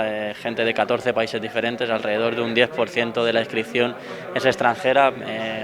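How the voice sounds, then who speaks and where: A man with an accent from Spain giving an unscripted press interview as a local official, in halting phrases. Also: medium pitch. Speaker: town council representative.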